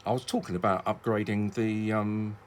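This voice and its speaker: Deep-voiced British man, rambling casually. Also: level tone, normal speed, a little hiss or hum. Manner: rambling, self-interrupting, hesitant. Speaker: hobbyist video presenter. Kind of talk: casual unscripted demonstration.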